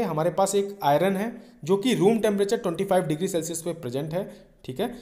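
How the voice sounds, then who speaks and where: A man with an Indian accent and a notably high voice delivers an explanatory lecture for exam students. He is didactic, going through each point step by step and regularly checking that listeners follow. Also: darkish tone. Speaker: engineering lecturer.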